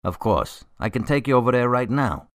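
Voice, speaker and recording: A man's voice: medium pitch, sing-song, moderate pace, no echo, natural voice. An actor playing a plain-spoken, skeptical male police officer in a radio drama, matter-of-fact and defensive. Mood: helpful, matter-of-fact.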